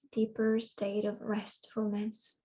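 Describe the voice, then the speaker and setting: A woman leads a guided relaxation as a yoga nidra teacher. Her voice is mellow and dark, with very little rise and fall in pitch. She speaks soothingly, in unhurried phrases separated by long pauses. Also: monotone, slightly rough, dull audio. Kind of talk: guided meditation.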